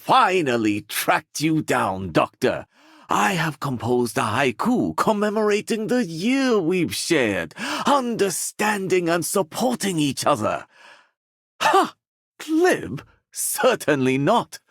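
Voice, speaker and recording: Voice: mid-range voice, wildly swooping pitch, slow, a bit bright, no room sound, studio quality, exaggerated voice. An actor voicing a flamboyant, caring poet character in a video game, with theatrical delivery. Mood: flamboyant, proud.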